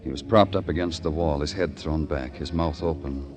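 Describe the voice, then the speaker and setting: An actor playing a world-weary city police detective in 1950s radio noir style, terse, wry and brooding. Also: male voice, extremely low pitch, moderate pace. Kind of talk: 1950s radio noir acting, hardboiled narration. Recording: old radio recording with music.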